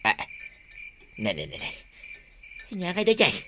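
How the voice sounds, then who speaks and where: A man's voice: high voice, wildly swooping pitch, moderate pace, bright, forceful tone, a little hiss or hum, heavily acted. A man playing a fussy, superstitious, easily spooked husband with an Ilocano accent in a radio comedy, whining and stammering out repeated words. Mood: spooked, pleading. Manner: whiny, exclamatory, stammering repeats.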